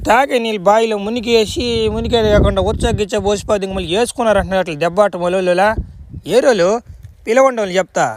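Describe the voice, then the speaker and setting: A man's voice: high voice, lightly acted. A man voicing a crafty, blustering middle-aged village headman in a cartoon comedy, slangy and repetitive. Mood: angry. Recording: noticeable background noise.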